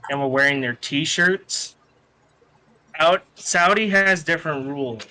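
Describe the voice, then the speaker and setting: A man's voice: on the high side, unhurried, sharp tone, rough recording. A man from the United States co-hosting a wrestling podcast, chatting in a brash, sweary, argumentative way. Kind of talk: casual heated panel chat.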